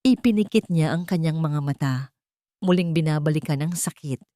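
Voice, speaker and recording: Low-voiced woman narrating drama, very dry. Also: moderate pace, warm tone, dry recording. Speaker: storyteller.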